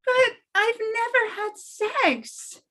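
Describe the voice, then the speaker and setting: Very high, bright, flustered young woman actress reading. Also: extremely slow, exaggerated voice. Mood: disbelieving, insistent. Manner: insistent, flustered. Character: earnest, disbelieving young woman in comedy drama. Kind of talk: table read acting.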